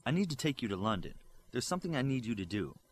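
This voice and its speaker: A man voicing a calm, commanding, protective superhero leader in an audiobook. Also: mid-range voice, fast, slight background noise, close to an everyday voice.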